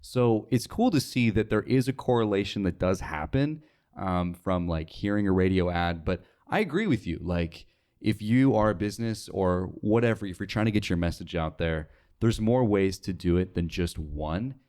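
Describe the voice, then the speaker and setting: A man's voice: deep voice, animated, moderate pace, darkish tone, clear, resonant tone. A young American man talking casually as a guest in an interview. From United States, North Dakota. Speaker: interview guest, radio sales associate.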